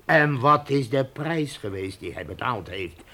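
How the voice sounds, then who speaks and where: A man's voice: medium pitch, lively intonation, measured pace, pushed and bright, heavily acted. An actor playing a hearty, domineering British trading-post chief in a radio drama, emphatic and chuckling as he warns settlers. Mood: grave warning.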